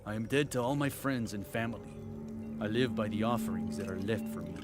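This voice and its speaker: A man playing a wry, enigmatic outcast in a video game, speaking in measured short sentences. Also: on the low side, close to an everyday voice. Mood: wry, calm. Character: wry outcast man, living as one dead. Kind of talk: video game dialogue acting. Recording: music, dripping water behind voice.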